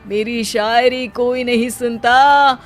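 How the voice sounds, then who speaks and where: A woman's voice: a little high, fairly even intonation, slow, soft background music. A woman voicing a lazy, cheeky young husband who declaims silly rhymes theatrically in a comic cartoon story. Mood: boastful, dramatic.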